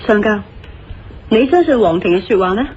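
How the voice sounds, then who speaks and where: A woman playing a tactful, astute wife who reasons calmly in a Cantonese radio drama. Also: mid-range voice, normal speed, dull audio, crowd noise, lightly acted. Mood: reasoned.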